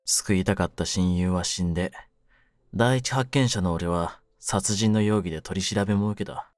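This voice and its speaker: A man playing a cynical, wounded young mafia kidnapper in an audio drama, with a low voice and rough, brooding delivery. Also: moderate pace, no room sound, studio quality, lightly acted. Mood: grieving, bitter. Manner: rough masculine slang, taunting, brooding delivery. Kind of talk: Japanese situation-voice audio drama, ASMR.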